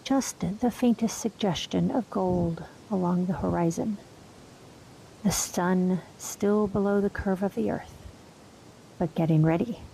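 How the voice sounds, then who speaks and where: A woman guiding a meditation, speaking softly and unhurriedly with long pauses. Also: mid-range voice, vocal fry, slight background noise, rough recording. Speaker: meditation guide. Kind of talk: guided meditation.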